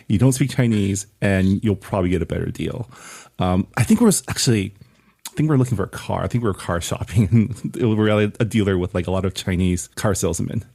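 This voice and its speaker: Brief: lower-voiced man, loose rambling storyteller, laughs a lot. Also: vocal fry, a little mellow. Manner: rambling, many "like" fillers, laughing. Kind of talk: casual anecdote.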